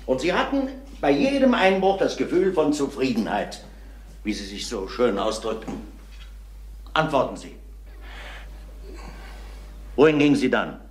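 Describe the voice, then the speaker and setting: Wry detective with lively intonation. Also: male voice, medium pitch, measured pace, pauses often. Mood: probing, stern. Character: calm, probing senior male detective, wry and patient. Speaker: police investigator. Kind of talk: crime radio drama acting.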